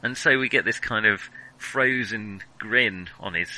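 A man's voice: fairly low pitch, measured pace, pushed and bright, poor audio. A man co-hosting a film discussion podcast, speaking casually and opinionatedly, often stopping to rethink and correct himself. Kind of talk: casual film criticism chat.

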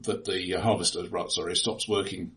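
A man co-hosting a casual film discussion, speaking in a hesitant, halting, analytical way. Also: low-pitched, steady tone, fairly bright tone. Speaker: podcast co-host.

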